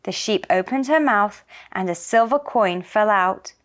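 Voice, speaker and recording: A woman narrating a children's folktale for a puppet show in a simple, deliberate storytelling manner. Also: medium pitch, expressive.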